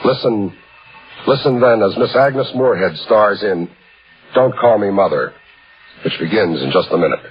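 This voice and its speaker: A man hosting a vintage radio drama with an ornate, pompous scripted introduction in measured oratory. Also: on the low side, lively intonation, breathy edge. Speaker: radio show host introducing the story. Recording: muffled old radio broadcast, music behind.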